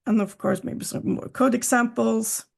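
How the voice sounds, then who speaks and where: A woman's voice: fairly high pitch, fairly even intonation, normal speed, gravelly, a little mellow. A woman guest on a podcast, not a native English speaker, explaining her work thoughtfully and at length. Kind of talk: casual technical chat.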